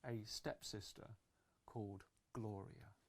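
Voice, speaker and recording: A woman's voice: extremely low pitch, fairly even intonation, takes it slowly. A woman telling a fairytale to children as a live storyteller, agreeable and improvising as she goes.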